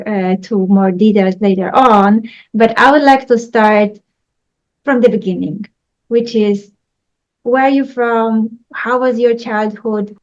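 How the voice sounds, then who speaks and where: A woman's voice: medium pitch, a little mellow. A woman from Poland whose first language is Polish, hosting a podcast interview politely and formally. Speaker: podcast host. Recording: dull lo-fi sound.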